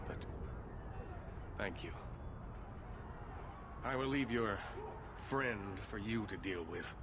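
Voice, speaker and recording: An actor playing an indignant, pleading mage leader in a video game, speaking with dramatic emphasis. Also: male voice, lowish voice, background noise, natural voice. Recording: loud music behind the voice.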